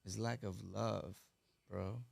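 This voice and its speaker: New york guy, spanish was his first language, gravelly voice, preachy and animated, keeps saying you know what i'm saying. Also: on the low side, slow pace. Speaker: guest, fashion designer. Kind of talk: casual podcast chat.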